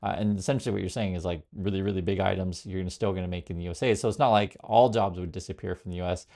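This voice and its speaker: Gravelly-voiced man talking politics. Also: sits low, fairly even intonation, rapid-fire, a little mellow. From Vietnam. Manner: rambling, many fillers and restarts, reads viewer comments aloud. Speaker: political commentary host. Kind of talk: casual direct-to-camera commentary.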